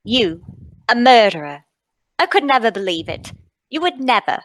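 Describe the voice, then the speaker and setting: An actress playing a kindly, concerned lady friend in a radio-play table read, gentle, fussing and dramatic. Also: on the high side, sing-song, moderate pace, dry recording. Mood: disbelieving horror.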